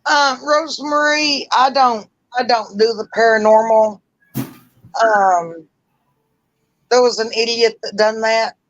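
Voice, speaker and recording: A woman chatting casually on a livestream call, talking loudly and rambling. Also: fairly high pitch, takes it slowly, lo-fi sound, slight background noise. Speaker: livestream guest.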